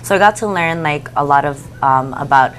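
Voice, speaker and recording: A nineteen-year-old woman answers interview questions softly and hesitantly. Her voice is low for her age. A faint room hum sits behind her. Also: fairly quick, poor audio. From California. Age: teens. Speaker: pageant titleholder guest.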